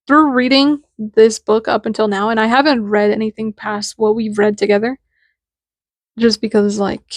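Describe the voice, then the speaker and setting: A woman's voice: on the high side, no echo. A woman in her twenties with a nasal voice, talking in a casual, rambling and candid monologue as the host. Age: 20s. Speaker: podcast host.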